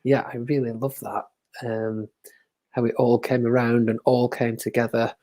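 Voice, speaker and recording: A man who works with children, reflecting in a soft, thoughtful way during a casual chat on a video call. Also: mid-range voice, little pitch movement, moderate pace. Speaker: educator and co-host.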